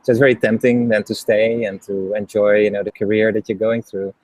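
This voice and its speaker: Low, flat-voiced Dutch man. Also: fairly quick, rough recording. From Netherlands. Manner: hesitant, many ums and restarts. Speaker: guest, HeartMath trainer. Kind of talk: casual interview talk.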